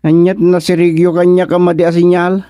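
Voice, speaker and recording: A man's voice: high voice, monotone, brisk, mellow, no echo, studio quality, close to an everyday voice. A man playing a flustered, evasive young suitor in an Ilocano radio comedy-drama, with an Ilocano accent. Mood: flustered pleading.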